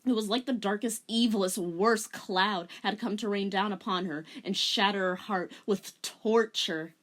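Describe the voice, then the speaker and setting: A woman's voice: mid-range voice, measured pace, a bit bright. A woman giving a comedic dramatic reading with casual commentary, in a sardonic, giggly manner. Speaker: commentator and reader.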